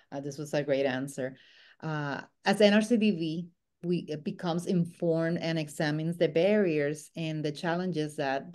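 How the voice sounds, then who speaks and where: A woman from Rico, co-hosting and asking formal, deliberate questions. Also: low voice, a little mellow. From Puerto Rico. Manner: formal, deliberate with filler pauses. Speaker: co-host, staff of the organization. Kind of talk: scripted panel questions.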